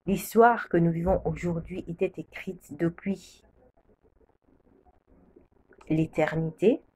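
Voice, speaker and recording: A woman's voice: lowish voice, slow, a little mellow, husky. A woman from the Democratic Republic of the Congo giving a prophetic religious testimony with solemn, repeated declarations. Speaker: preacher sharing a prophecy.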